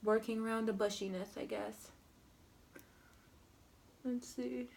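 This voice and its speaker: Young female beauty vlogger with a cold, slow and sniffly, gravelly fry, slightly high. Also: fairly even intonation, a little mellow. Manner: slow, rambling, filler-heavy commentary while applying makeup. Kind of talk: makeup tutorial chat.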